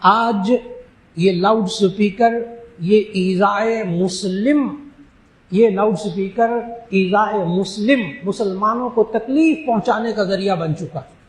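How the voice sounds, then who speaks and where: A man from Pakistan, an Islamic scholar, giving an emphatic religious talk that answers questions and slowing down to stress key phrases. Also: high-pitched. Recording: echoey room.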